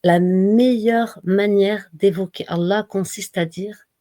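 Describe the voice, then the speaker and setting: A woman giving a slow, measured devotional reading and reminder, pausing often between phrases. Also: mid-range voice, fairly even intonation, warm tone, dry recording, clean studio sound. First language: not Arabic. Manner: slow, measured recitation with pauses. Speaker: religious reminder speaker.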